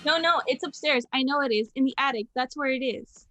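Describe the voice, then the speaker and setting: A woman's voice: sits high, a little fast, a bit bright, faint music behind. A woman playing a frightened wife and mother in a radio drama, pleading and repeating herself, desperate to hide. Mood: urgent, frantic.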